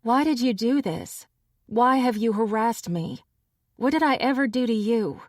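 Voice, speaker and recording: A woman's voice: fairly high pitch, unhurried, no echo, voice pushed up, close to an everyday voice. A wounded young shifter woman, voiced by a woman narrating. She pleads and demands answers in a lifted voice. The sound is dry. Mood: hurt.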